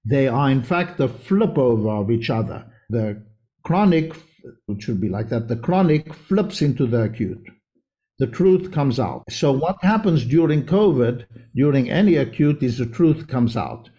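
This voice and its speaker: Man, older, dark mellow tone, lecturing, emphatic, interview guest. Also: medium pitch, expressive, measured pace. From South Africa. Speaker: podcast guest, homeopath. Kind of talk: conversational interview.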